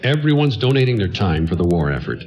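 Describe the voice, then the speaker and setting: A man acting a middle-aged theater owner in a radio comedy play, an earnest salesman who flatters and pleads and gets flustered. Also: lowish voice, fast talker, soft background music. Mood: apologetic, earnest. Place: stage before an audience.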